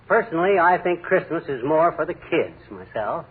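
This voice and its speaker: 1940s radio comedy: a big-talking braggart husband, high and bouncy; tinny old broadcast with hiss. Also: male voice, lively intonation, moderate pace, husky. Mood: blustering. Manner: blustering, big-talking, folksy slang. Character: boastful scheming middle-aged husband.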